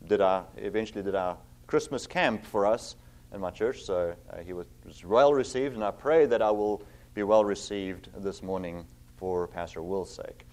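A middle-aged man with a South African accent, giving a sermon as a guest preacher. His voice sits on the low side. He talks in an earnest way with plenty of ums, then reads scripture slowly and firmly. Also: a little mellow. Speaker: visiting preacher.

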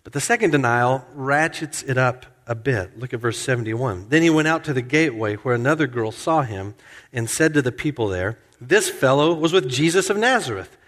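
A man delivers an expository sermon, explaining each verse in turn. He acts out quoted lines in a raised, animated voice and then drops back to plain teaching. His mid-range voice carries a long reverb, as if heard in a large church. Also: medium pitch, normal speed. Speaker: pastor. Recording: hall reverb.

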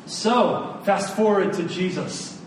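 Man, preacher, high voice, emphatic repetition, colloquial delivery, very echoey room. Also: expressive, takes it slowly. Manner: emphatic, repeats phrases for stress; informal, colloquial asides to listeners. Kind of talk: sermon. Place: large room, speaking to a congregation. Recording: echoey room, distant mic, faint rumbling noise.

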